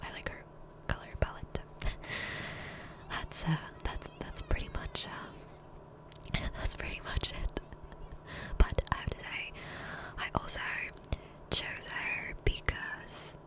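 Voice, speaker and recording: A woman speaking in a soft, breathy whisper close to the microphone, rambling casually and self-deprecatingly as an ASMR gaming creator. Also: slow, long pauses. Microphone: close USB condenser microphone.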